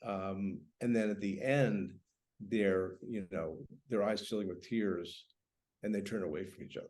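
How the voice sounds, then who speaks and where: A man speaking as a writer in a casual literary conversation, halting and reflective, repeating phrases as he thinks. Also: on the low side, steady tone, darkish tone. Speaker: writer, guest.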